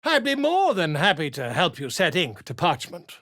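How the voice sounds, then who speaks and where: A man's voice: fairly high pitch, huge pitch swings, moderate pace, sharp tone, no echo, clean studio sound, exaggerated voice. An actor playing a flamboyant, self-important male bard and scribe in a video game, speaking in a theatrical, flowery, pompous way. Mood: grandiose.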